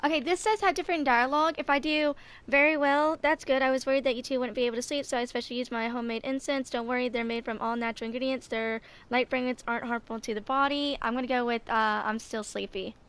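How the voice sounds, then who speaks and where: A woman's voice: high-pitched, fairly even intonation, quick pace, fairly bright tone, clean tone. A woman in her twenties casually reading game dialogue aloud and commenting as she plays. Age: 20s. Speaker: gaming video maker.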